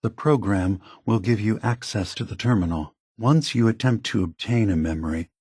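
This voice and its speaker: An actor playing a calm, burdened male android leader in a video game, speaking in a measured, deliberate, formal way. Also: on the low side, breathy edge. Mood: calm, grave. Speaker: game character guiding the player. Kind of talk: scripted video game voice acting.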